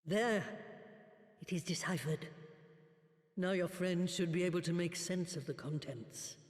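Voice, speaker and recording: A woman's voice: on the low side, measured pace, darkish tone, lightly acted. An actress playing a blunt, sardonic old woman sage with a British accent and a weathered voice in a video game. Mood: businesslike. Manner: blunt, dry, scolding. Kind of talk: dramatic video game acting. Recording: echoey hall with long reverb.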